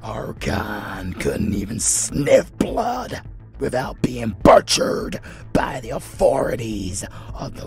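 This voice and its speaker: An actor playing an ancient, weakened vampire lord in a dark audio drama, bitter and menacing, speaking in a strained, theatrical half-whisper. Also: male voice, lowish voice, gravelly, exaggerated voice. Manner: slow, theatrical, drawn-out. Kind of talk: dark fantasy audio roleplay. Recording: music bed under voice.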